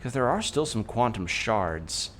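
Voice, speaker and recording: A man streaming a game, thinking aloud in a relaxed, soft-spoken way and humming as he works things out. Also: mid-range voice, unhurried, background noise. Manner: thinking aloud, musing with hums. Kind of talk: casual gameplay commentary.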